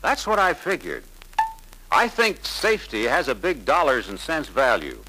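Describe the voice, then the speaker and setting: Young male salesman: polite, persuasive, forceful bright voice, faint noise of an old 1950s film soundtrack. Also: mid-range voice, low-quality recording. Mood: friendly, confident. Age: young adult. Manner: deferential, persuasive, earnest. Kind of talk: 1950s sales pitch acting.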